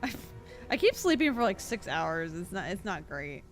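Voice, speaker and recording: A woman's voice: on the low side, big pitch swings, normal speed. A woman game streamer chatting casually and reacting as she plays, giggly and informal. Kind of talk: casual reaction chat while playing a game. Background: faint game music.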